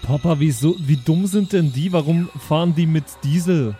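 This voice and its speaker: A man's voice: on the high side, normal speed, mellow, soft background music, lightly acted. A man voicing a cheeky, blunt child who talks in slang in an improvised audio drama. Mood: cheeky, disdainful.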